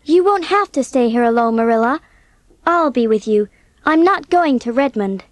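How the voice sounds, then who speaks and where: A woman playing an earnest, impulsive, warm-hearted teenage orphan girl in an audiobook drama, speaking with eager emotion. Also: a little high, expressive, moderate pace, no echo, natural voice. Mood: resolute, reassuring.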